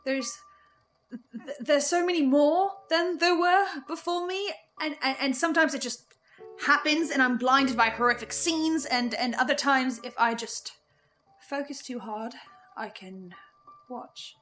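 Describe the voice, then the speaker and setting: A high-pitched woman's voice over music, anxious and halting, an actress playing someone haunted and guilt-ridden in a horror audio drama. She hesitates and stammers her way through sentences. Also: a bit bright. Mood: anguished, halting.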